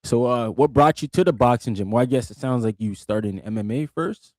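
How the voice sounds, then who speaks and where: A man's voice: mid-range voice, a little fast, a little mellow, no echo. A man hosting a podcast, asking casual questions and filling in with short, easygoing affirmations. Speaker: podcast host. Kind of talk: casual interview chat.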